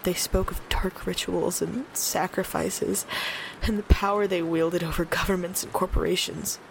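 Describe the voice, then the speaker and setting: A woman's voice: on the low side, gravelly, noisy background. A woman playing an uneasy late-night radio host in an audio drama, speaking in a hesitant, wry, conversational way.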